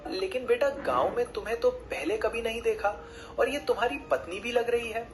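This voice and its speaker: A man's voice: very high-pitched, moderate pace, music in the background. A man playing a curious, kindly village uncle in a cartoon, friendly and inquisitive.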